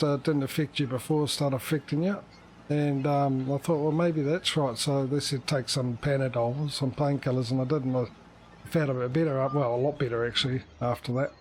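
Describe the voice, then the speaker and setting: Rambling man livestreamer with faint background noise. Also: medium pitch. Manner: rambling, hesitant, self-correcting. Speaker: livestream host. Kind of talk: casual livestream chat.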